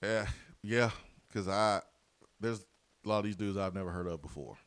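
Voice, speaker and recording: Sports radio host with a strained voice. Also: male voice, fairly low pitch, normal speed, fairly bright tone, dry recording. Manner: loose, rambling, many fillers. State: weak, strained voice. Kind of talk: casual radio talk and ad reads.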